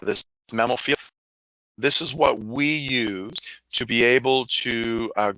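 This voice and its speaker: A man's voice: medium pitch, no echo. Man on a phone-like line, informal presenter. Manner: informal, chatty, muttering to himself while working. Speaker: software demo presenter. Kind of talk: casual live software demonstration. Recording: narrow, phone-like line, dry.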